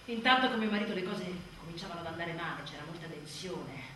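A woman's voice: fairly low pitch, lively intonation, fast, pushed and bright. An actress playing an exhausted, embittered young mother in a theatrical radio monologue, sardonic and volatile. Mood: weary despair. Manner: volatile, sardonic, swearing, swinging from outbursts to murmurs. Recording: roomy echoing stage, distant mic, faint noise.

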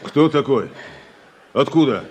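An actor playing a stern but fair farm boss in a radio play, brisk, questioning and commanding. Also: male voice, medium pitch, expressive, a little mellow. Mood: stern, demanding. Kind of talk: Soviet radio play acting. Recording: noisy vintage radio drama recording.

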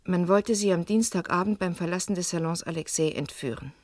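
An actress playing a defiant, evasive young student in a vintage radio crime drama, curt and defensive under questioning. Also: female voice, fairly low pitch, a little fast, darkish tone, no echo, clean studio sound, natural voice. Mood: grave, confessing.

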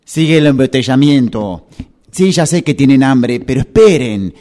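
A man's voice: mid-range voice, animated, moderate pace, mellow, close to an everyday voice. A man playing a friendly guy held up in traffic and phoning old friends in a radio drama, with an Argentine Spanish accent. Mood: impatient, friendly. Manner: informal, chummy. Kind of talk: radio drama acting, one side of a phone call.

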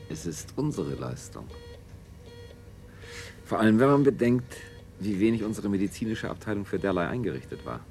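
A man playing a sober colony official in a German science fiction radio play, speaking in a deliberate, practical way. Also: fairly low pitch, big pitch swings, darkish tone, a little hiss or hum. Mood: matter-of-fact. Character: sober, matter-of-fact male colony official among scientists.

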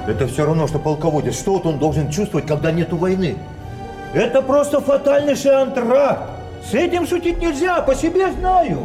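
An actor playing a confident, philosophizing card sharp with emphatic, rhetorical delivery in an audio play. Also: male voice, very high-pitched, animated, a little fast. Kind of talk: theatrical audio play acting. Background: music.

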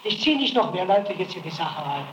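Defensive man, high voice, quick talk. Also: sing-song, roomy sound, noisy background. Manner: defensive, evasive answers. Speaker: witness under interrogation. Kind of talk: archival interrogation recording.

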